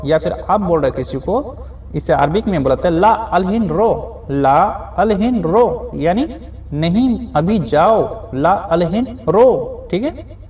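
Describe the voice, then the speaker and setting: A man's voice: high-pitched, a little mellow. A man teaching spoken phrases in a beginner lesson, saying each phrase, repeating it and explaining it word by word. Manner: addresses viewers as friends, frequent "okay". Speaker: Arabic teacher.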